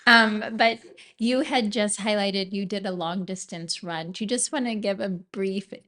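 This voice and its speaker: A woman's voice: medium pitch, measured pace, sharp tone. A woman hosting a podcast interview, asking questions and affirming her guest. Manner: encouraging, frequent affirming murmurs. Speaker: podcast host. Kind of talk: casual interview conversation.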